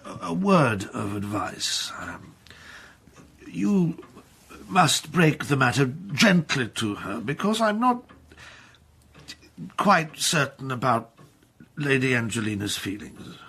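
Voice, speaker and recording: A blustering old lord in a period radio play. His voice is very hoarse and gravelly with a forceful, bright edge. He is pompous and effusive, hesitating for effect. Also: medium pitch, very animated, slow pace, long pauses, full character voice. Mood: cautious, delicate. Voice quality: husky, gravelly. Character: blustering, wily older aristocrat, an impecunious earl and father. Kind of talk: period radio drama acting.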